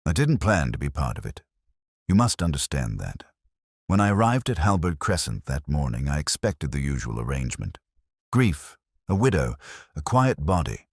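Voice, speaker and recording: Guilt-burdened Victorian man, deep-voiced male narrator. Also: big pitch swings, normal speed, husky, dry recording, studio quality, full character voice. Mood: guilty, confessing. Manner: quiet, uneasy, confessional. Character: thin, guilt-burdened Victorian man in his early forties. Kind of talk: dramatised story reading.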